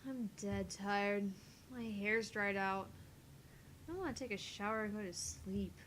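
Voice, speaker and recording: A woman's voice: medium pitch, a little slow, fairly bright tone, slight background noise, close to an everyday voice. A woman voicing a tired, sarcastic young scientist in a visual novel read-through, dry and dismissive.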